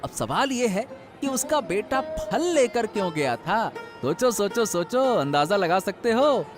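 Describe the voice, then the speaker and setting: A man's voice: high-pitched, sing-song, unhurried, over music. A man playing a suspicious, exclaiming village fish seller in a cartoon story. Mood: suspicious, scheming.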